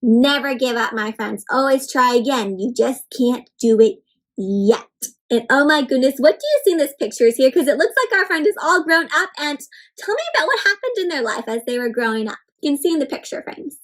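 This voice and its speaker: A young woman hosting an interactive read-aloud for children, talking to them in an animated, encouraging way. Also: sits high, fairly quick. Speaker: children's storybook reader and host.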